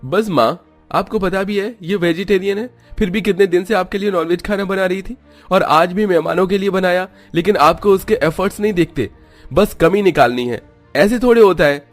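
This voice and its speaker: A man's voice: sits high, normal speed, faint music behind, close to an everyday voice. A man voicing a protective young husband in a cartoon family drama. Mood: indignant. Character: young husband, protective of his vegetarian wife.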